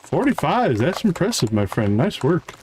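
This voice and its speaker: A man's voice: on the high side, sing-song, moderate pace, darkish tone. Man casually streaming a game, noisy background. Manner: thinking aloud, addressing viewers casually. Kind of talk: casual live gameplay commentary.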